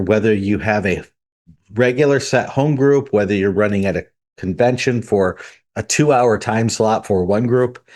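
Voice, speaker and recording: A man's voice: lowish voice, a little mellow. A man co-hosting a tabletop gaming podcast, methodically laying out his points one by one in casual discussion. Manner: methodical, lays out numbered points. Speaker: podcast co-host.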